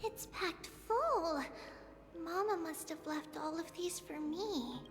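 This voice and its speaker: A woman's voice: very high-pitched, takes it slowly, heavily acted. A woman voicing a curious, innocent and eager little girl in a video game. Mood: wistful, puzzled. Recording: echoey reverb under game music.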